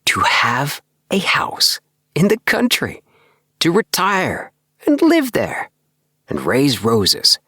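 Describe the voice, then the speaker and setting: A man voicing an excitable, earnest young dreamer in an audiobook, with an emphatic, self-satisfied manner. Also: on the high side, slow, long pauses, husky, no echo, clean studio sound, pitched above the performer's own voice, heavily acted. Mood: eager, triumphant. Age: young adult. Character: excitable young dreamer, eager, earnest, obsessed with house plans. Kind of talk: acted audiobook dialogue.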